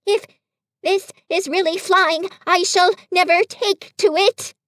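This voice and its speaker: A woman voicing a tiny, timid young male piglet who squeaks, stammers and splutters. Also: steady tone, slow pace, fairly bright tone, dry recording, studio quality, heavily acted. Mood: anxious.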